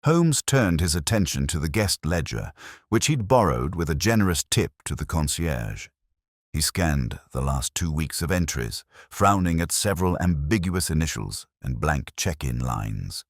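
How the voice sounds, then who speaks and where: Very deep-voiced male storyteller. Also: sing-song, normal speed, dry recording, studio quality. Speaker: audiobook narrator. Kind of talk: scripted story narration.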